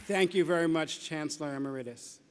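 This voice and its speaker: A man's voice: on the high side, fairly quick, a faint crowd, a little hiss or hum. A man, a dean, reading a formal introduction of a keynote speaker at a commencement ceremony, with the occasional small slip. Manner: reads a prepared introduction, occasional small slips. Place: large indoor ceremony hall. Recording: long reverb of a large hall.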